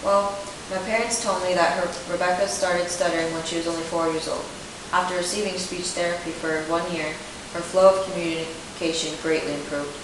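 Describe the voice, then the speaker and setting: A young woman playing a self-conscious eighteen-year-old client in a speech therapy interview, reading her answers in a rehearsed, even way. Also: fairly low pitch, a little fast, few pauses, lightly acted. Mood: earnest. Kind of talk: scripted role-play. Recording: echoey room, distant mic, steady hiss.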